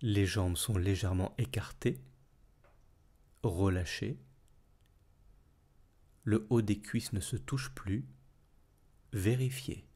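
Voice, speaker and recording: Man, lowish voice, husky, soothing, guided meditation, measured phrases, long pauses. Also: dark tone. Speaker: yoga nidra instructor.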